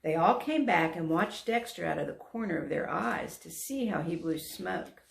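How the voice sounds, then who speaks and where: A woman volunteer narrating a children's story aloud in an expressive, emphatic storytelling manner. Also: on the low side, normal speed, creaky. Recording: roomy echo.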